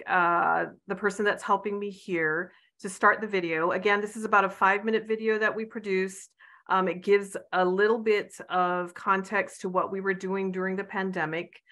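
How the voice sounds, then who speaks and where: A woman's voice: mid-range voice, fairly bright tone. A middle-aged woman from the United States giving a friendly conference presentation over a video call, with frequent fillers.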